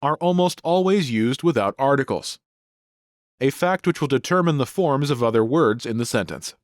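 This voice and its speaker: A man narrating a scripted grammar lesson in a clear, explanatory teaching style. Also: medium pitch, expressive, moderate pace, no room sound, studio quality. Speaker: language course narrator.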